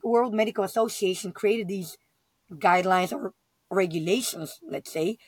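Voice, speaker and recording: A woman's voice: medium pitch, darkish tone, dry recording. A woman from Bulgaria hosting a podcast, asking long questions and thinking aloud as she goes. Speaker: podcast host. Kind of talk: podcast interview.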